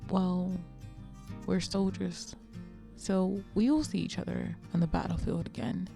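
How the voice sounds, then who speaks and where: Actress as world-weary female vigilante, mellow dark tone, heavy vocal fry, measured and resolute, radio drama. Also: on the low side, flat delivery, soft background music. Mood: resigned. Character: scarred female vigilante villain, cool, proud, world-weary. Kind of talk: superhero radio drama acting.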